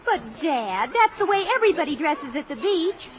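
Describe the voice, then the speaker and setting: Self-conscious American teen daughter, high-pitched and expressive, in a dull-sounding 1950s radio play. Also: female voice, moderate pace, noisy background. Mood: flustered, protesting. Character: earnest, self-conscious teenage daughter who narrates the family story. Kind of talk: 1950s radio drama acting and narration. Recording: dull vintage radio broadcast with music.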